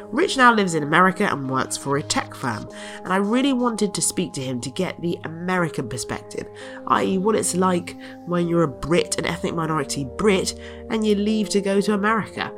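A woman with a low voice narrates a scripted episode intro, guitar music playing clearly beneath her. She explains things at a measured, steady pace. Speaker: podcast host.

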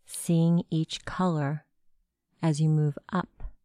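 Slow, low-voiced woman guiding meditation. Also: level tone, clean tone, no room sound, clean studio sound. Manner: measured, instructional, gentle delivery. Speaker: meditation guide. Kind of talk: guided meditation narration.

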